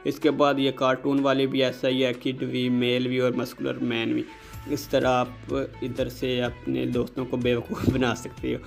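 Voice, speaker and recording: A man's voice: medium pitch, completely flat delivery, unhurried, vocal fry, faint music behind. A man hosting a casual app tutorial, politely addressing his viewers directly as he explains each step. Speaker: host of a tech tutorial channel. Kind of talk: casual scripted-style app tutorial narration.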